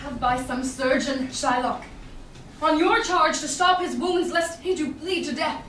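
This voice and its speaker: A woman playing a composed young male doctor of law in a staged Shakespeare reading, formal and measured. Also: sits high, fairly bright tone. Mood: judicial, firm. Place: small theatre stage, indoors. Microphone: far audience camera. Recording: echoey stage, distant camera, faint noise.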